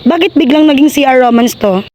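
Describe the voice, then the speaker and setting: A woman's voice: high voice, brisk, background noise, close to an everyday voice. A woman with a Filipino accent playing an over-dramatic, complaining romance actor in a comedic radio drama. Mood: outraged.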